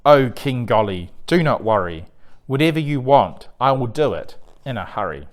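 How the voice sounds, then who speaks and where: Guy doing a humble loyal king's servant in a kids story, super eager to please, bouncy pitch. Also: very animated, moderate pace, close to an everyday voice. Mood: eager, reassuring. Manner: deferential, reassuring, eager to please.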